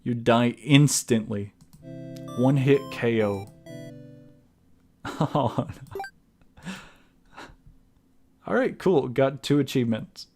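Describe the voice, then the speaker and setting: A man streams a video game at an unhurried pace, with faint music behind him. His talk is informal and slangy, somewhere between muttering to himself and reading on-screen text out loud. His pitch sits in an average male range. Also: lively intonation. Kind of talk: casual live game commentary, reading game text aloud.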